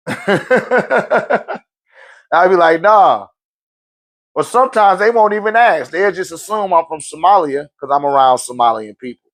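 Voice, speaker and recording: A man's voice: sits high, lively intonation, measured pace, fairly bright tone. Casual, slangy man on a live stream. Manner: loose, conversational, slangy, laughs often. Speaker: spiritual live-stream host. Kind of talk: casual live-stream talk answering viewer questions.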